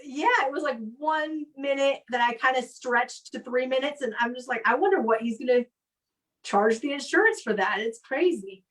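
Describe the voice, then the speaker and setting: A woman hosting a show on a live call, chatting casually and telling anecdotes in an animated way. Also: high-pitched, moderate pace, far from the mic, low-quality recording. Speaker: comedy show host. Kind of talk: casual chat. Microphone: live video call.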